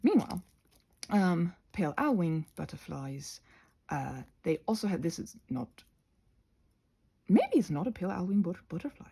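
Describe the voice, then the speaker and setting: A woman voicing a chatty, crafty goblin with a gravelly, husky voice, rambling haltingly through an ASMR craft session. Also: fairly low pitch, sing-song, dark tone, full character voice. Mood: chatty, earnest. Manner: rambling, halting, goes off on tangents.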